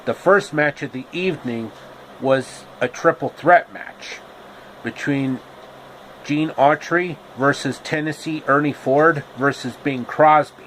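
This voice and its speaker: A man narrating a homemade story with deliberate, halting phrasing. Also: a little high, fairly bright tone, slight background noise, poor audio.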